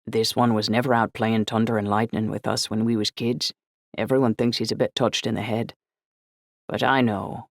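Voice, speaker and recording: A woman's voice: low-pitched, rapid-fire, hardly any pauses, dark tone, no room sound, studio quality. A woman doing a boisterous young lad. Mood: conspiratorial. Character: friendly beery young Irish man, boisterous and conspiratorial.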